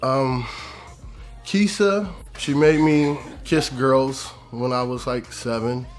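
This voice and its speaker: A man with an American accent telling casual, animated, jokey stories about himself in an interview. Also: medium pitch, slow pace. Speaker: singer and personal trainer. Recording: music playing behind. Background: background music.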